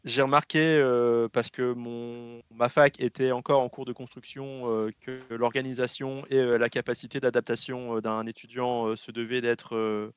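A young man from French Guiana, a guest in an interview, speaking in a relaxed way with constant hesitations. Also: mid-range voice, moderate pace, hardly any pauses, clear, resonant tone, no echo. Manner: relaxed, very hesitant with constant fillers, laughs often.

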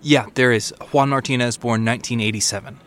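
A man's voice: medium pitch, fairly even intonation, fairly quick, fairly bright tone, lightly acted. A man playing a young, eager junior police detective in an audio drama. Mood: helpful. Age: young adult.

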